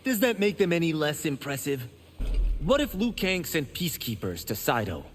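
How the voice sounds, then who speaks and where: An actor voicing a boastful, vain young monk in a video game. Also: male voice, on the high side, big pitch swings, normal speed, a little hiss or hum. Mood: cocky.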